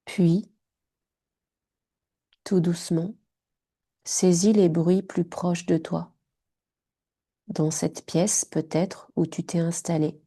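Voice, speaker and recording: A woman guiding a yoga nidra relaxation in short, hushed, soothing phrases. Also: on the low side, level tone, slow pace, long pauses, husky. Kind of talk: guided meditation.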